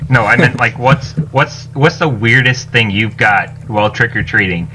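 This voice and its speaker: A man's voice: mid-range voice, moderate pace, background noise. A man talking casually on a podcast, rephrasing himself as he goes.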